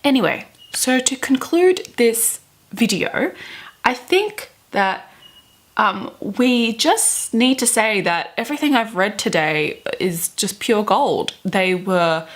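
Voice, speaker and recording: A young adult woman with a theatrical, expressive way of talking, doing dramatic readings and chatty commentary to camera in a bedroom. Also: fairly high pitch, takes it slowly. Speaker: book vlogger. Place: small bedroom, indoors.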